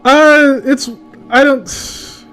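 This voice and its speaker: High-pitched man, blunt and sweary. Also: steady tone, drawn-out words, music in the background. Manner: profane, blunt, opinionated. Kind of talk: casual chat.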